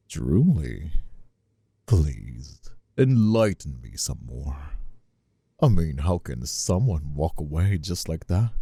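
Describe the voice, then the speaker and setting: A man playing a menacing, smug mobster brother in an audio roleplay, gravelly and husky, with mock-polite, drawn-out taunts. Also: very deep voice, warm tone, no echo, clean studio sound, full character voice. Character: menacing, taunting mobster brother, escaped convict and crew leader. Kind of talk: scripted audio roleplay acting, ASMR.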